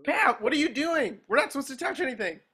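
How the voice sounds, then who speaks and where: An extremely high, piercingly bright voice for an eager young cartoon sponge. He is squeaky, earnest and excitable, a naive superhero fanboy. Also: quick pace, heavily acted. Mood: worried. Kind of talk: cartoon table reading.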